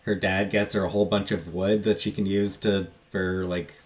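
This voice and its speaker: Very deep-voiced man, casual and halting. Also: level tone. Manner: halting, rambling, trailing off. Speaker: book reviewer. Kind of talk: casual unscripted book review.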